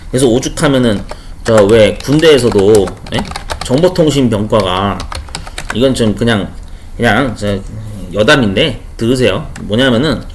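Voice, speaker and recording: Chatty male instructor with very lively pitch. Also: a little hiss or hum. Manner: chatty, explains with everyday examples and asides; repeats key terms for emphasis. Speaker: online instructor for a certification exam. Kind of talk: informal exam-question walkthrough lecture.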